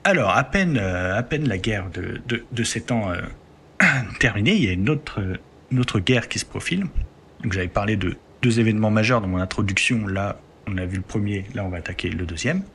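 Low-voiced man explaining history. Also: expressive, fairly quick, a bit bright, a little hiss or hum. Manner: explanatory, leans on filler words while building sentences. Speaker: host telling history. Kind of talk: conversational history podcast.

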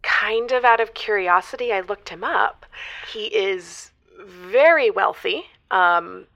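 A woman's voice: mid-range voice, takes it slowly, gravelly, fairly bright tone, dull audio. A woman in her twenties playing a hesitant young graduate calling a radio advice show, rambling and correcting herself in a semi-improvised drama. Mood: sheepish, chatty. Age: 20s. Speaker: caller. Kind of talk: semi-improvised audio drama, conversational phone-in.

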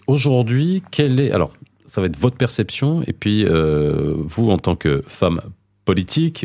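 A man with a very low voice hosts an interview. His delivery is lively and full of swings in pitch. He asks long, winding questions in a chatty way, laughing easily. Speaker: interviewer host.

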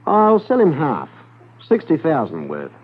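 Shrewd gold smuggler, 1950s radio crime serial. Guarded, deliberate, widely varied intonation, thin vintage broadcast sound. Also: male voice, on the high side, wildly swooping pitch, slightly rough, heavily acted. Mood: guarded, firm. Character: shrewd, smooth-talking male gold smuggler. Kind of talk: 1950s radio drama acting. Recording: narrow-band vintage 1950s radio recording.

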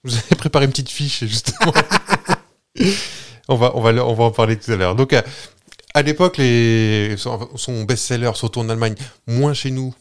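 A man's voice: mid-range voice, moderate pace. A man hosting a podcast, telling a story in a playful, rambling way with many hesitations. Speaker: podcast host. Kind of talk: casual chat, telling a story.

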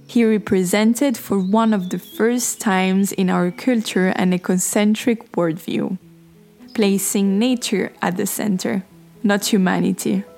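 A woman hosts a podcast in calm, reflective scripted narration. Soft music plays faintly under her mid-pitched voice. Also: measured pace, gravelly. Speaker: podcast host.

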